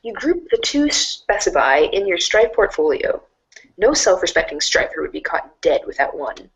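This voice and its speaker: Low-voiced, very lively woman storyteller. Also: moderate pace, low-quality recording. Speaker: narrator. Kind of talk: second-person storybook narration.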